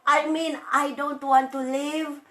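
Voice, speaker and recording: A woman in her late thirties with a loud voice, talking in an emphatic, emotional and rambling way in a casual vlog. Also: high-pitched, flat delivery, slow. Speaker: vlogger.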